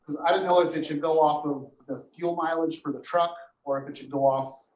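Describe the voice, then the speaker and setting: Echoey, higher-pitched man giving a meeting report. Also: steady tone, a little fast, darkish tone. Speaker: emergency dispatch official reporting to a board. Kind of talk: informal spoken report at a board meeting. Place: indoor meeting room. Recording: echoey meeting room, dull muffled audio.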